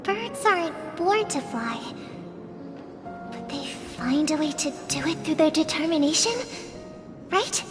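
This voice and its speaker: A woman's voice: high voice, expressive, unhurried, long pauses. A woman voicing a sweet, earnest, hopeful little girl in a game. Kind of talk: scripted game voice acting. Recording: long hall reverb over music.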